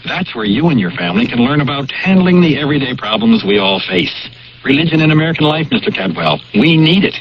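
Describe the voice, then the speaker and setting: An actor playing a knowing, mock-official examiner in a comic radio announcement, teasing in a theatrical way. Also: male voice, fairly high pitch, big pitch swings, fast, creaky, highs cut off, crowd noise. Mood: knowing, reassuring. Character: teasing, officious male examiner giving a life test.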